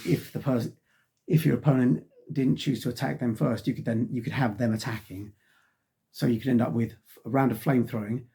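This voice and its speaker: Man, middle-aged, casual review, husky, mellow tone, getting over a cold, sighs, lots of ums. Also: medium pitch. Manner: rambling, frequent sighs and ums. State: losing his voice from a cold. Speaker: tabletop wargaming magazine reviewer.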